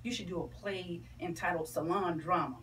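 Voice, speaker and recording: A woman's voice: on the low side, normal speed, fairly bright tone. A middle-aged woman, chatting enthusiastically and emphatically as a guest in a promotional radio interview. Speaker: interview guest, entertainer and stage play producer. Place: small radio studio, camera across room. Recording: distant mic, noisy room ambience.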